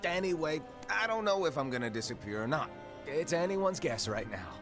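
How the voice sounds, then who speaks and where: A man's voice: fairly high pitch, big pitch swings, fairly quick. A man voicing a flippant, teasing master in a video game cutscene, with playful, casual, sing-song delivery. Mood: flippant, coaxing. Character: flippant, theatrical, teasing hooded male master. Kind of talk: animated game cutscene acting. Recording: game music behind the voice.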